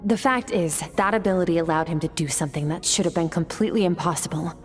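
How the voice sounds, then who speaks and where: A woman's voice: fairly low pitch, fast, soft background music, natural voice. An actress playing an aloof, battle-hardened swordswoman in a video game, composed and condescending in manner. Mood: cool, matter-of-fact. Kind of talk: scripted video game acting.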